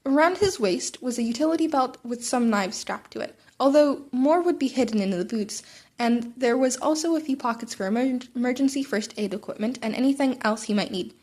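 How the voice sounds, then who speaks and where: Woman reading fanfic out loud, kinda high voice, informal, trips on words a bit. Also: a little fast. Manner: informal, stumbles and rereads words. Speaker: podfic narrator reading fan fiction aloud. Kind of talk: informal amateur story narration with character voices.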